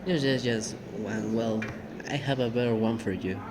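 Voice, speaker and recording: A young man from Mexico speaking English with a Mexican Spanish accent, telling a story in a casual podcast chat with many "uh" pauses. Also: lowish voice, crowd noise. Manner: halting, many "uh" fillers. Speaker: podcast co-host.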